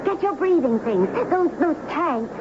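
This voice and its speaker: An actress playing a mysterious, gentle young woman from the sea, earnest and pleading in a 1970s radio drama. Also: high-pitched, animated, unhurried, highs cut off. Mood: urgent, pleading. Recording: noisy, band-limited 1970s radio broadcast recording.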